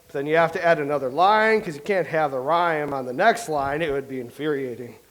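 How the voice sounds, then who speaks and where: An actor playing a chatty, boastful young playwright from old England in a staged play reading, rambling and reciting verse slowly. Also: male voice, fairly high pitch, lightly acted.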